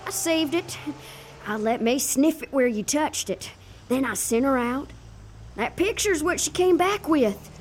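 An actress playing a warm, plucky, down-at-heel old woman with a folksy manner in a period audio drama. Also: high voice, lively intonation, measured pace, slight background noise. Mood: gentle, curious. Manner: folksy, colloquial, affectionate.

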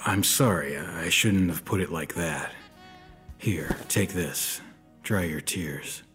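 Low-voiced hard-boiled detective, music behind. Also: male voice, slow, a bit bright. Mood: calm, gentle. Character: world-weary, tough 1930s private detective and war veteran. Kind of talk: noir radio-drama narration and dialogue. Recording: studio production with music underneath.

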